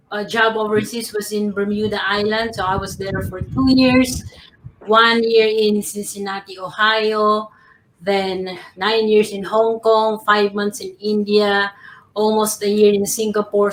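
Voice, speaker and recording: A woman from the Philippines, a professional coach, giving tips on a panel in a slow, measured, gently ironic way over a video call. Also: medium pitch, level tone, roomy sound, a little hiss or hum, rough recording.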